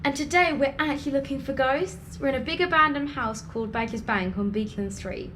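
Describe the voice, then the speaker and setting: Actress doing high voiced young vlogger girl, sarcastic and doubtful, faint noise in the background. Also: a little fast, natural voice. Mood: presenting, breezy. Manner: dry, questioning. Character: sceptical, sarcastic young female video maker, uneasy but bold. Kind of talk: naturalistic audio drama acting.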